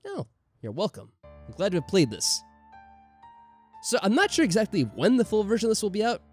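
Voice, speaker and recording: A man's voice: high-pitched, huge pitch swings, fairly quick, a little mellow, husky, soft background music. A man hosting a gaming video in casual chat, rambling and a little self-deprecating. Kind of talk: casual chat over a game playthrough.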